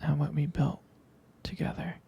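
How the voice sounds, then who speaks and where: A young man playing a tender, jealous, protective boyfriend in an ASMR roleplay, speaking in a hesitant, intimate, breathy whisper. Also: a little high, level tone, normal speed, warm tone, highs cut off, a little hiss or hum. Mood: possessive, devoted. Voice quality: breathy, husky, gravelly whisper.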